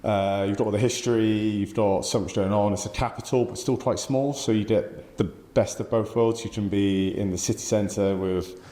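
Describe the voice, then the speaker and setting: A man's voice: lowish voice, fairly even intonation, normal speed, hardly any pauses, warm tone, reverberant. A man in his forties with an English accent, answering interview questions thoughtfully with many fillers and restarts. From England. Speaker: podcast guest.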